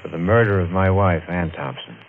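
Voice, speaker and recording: An actor playing a haunted, guilt-ridden wife killer in an overwrought, intense 1950s radio thriller style. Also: male voice, low-pitched, a little fast, creaky. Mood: drained resignation. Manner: overwrought, intense dramatic delivery. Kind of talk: 1950s radio thriller acting, first-person narration. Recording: muffled, noisy old radio recording.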